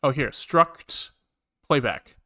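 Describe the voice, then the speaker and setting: A man casually live-coding on a stream, thinking aloud in halting, muttered bits as he works. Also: medium pitch, animated, bright, forceful tone. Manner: halting, muttering to himself while working. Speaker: programming livestreamer.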